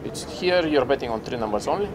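Give me a male casino host explaining the rules step by step, in halting phrasing, with background noise. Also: medium pitch, animated. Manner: patient, halting non-native phrasing. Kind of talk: explaining a table game. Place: casino floor on a cruise ship.

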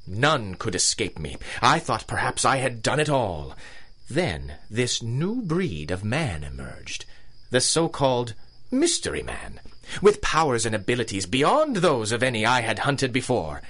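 Colonel villain, radio drama: genteel menace, pompous delivery, hugely animated intonation. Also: male voice, medium pitch, exaggerated voice. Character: pompous British colonel, big-game hunter villain, coldly courteous.

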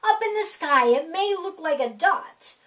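A middle-aged woman reading a rhyming children's picture book aloud in an animated, sing-song, deliberate way for young kids. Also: extremely high pitch. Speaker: library storytime reader. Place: small indoor room.

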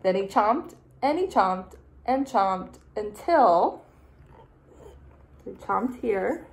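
A young adult woman, a teacher, reading a picture book aloud in a deliberate, expressive way for small children. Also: mid-range voice. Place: small room indoors.

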